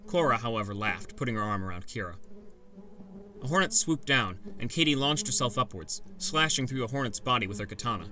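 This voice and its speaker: A man's voice: mid-range voice, expressive, a little fast, pushed and bright. A man narrating a first-person fantasy adventure story in a scripted, dramatic audiobook style.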